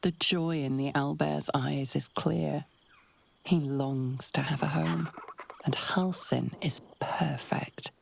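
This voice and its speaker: A woman narrating a fantasy game as a storyteller, hushed and breathy, with measured, deliberate delivery. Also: sits low, fairly even intonation, slight background noise, heavily acted. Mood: wry, gentle. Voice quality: breathy, hushed, often near a whisper. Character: female storyteller narrating a dark fantasy adventure. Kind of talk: scripted second-person fantasy game narration.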